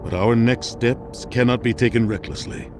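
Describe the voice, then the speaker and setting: An actor playing a stern, older military commander in a video game, grave and measured, with authority in every phrase. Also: male voice, medium pitch, background noise, lightly acted. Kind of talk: cinematic game dialogue.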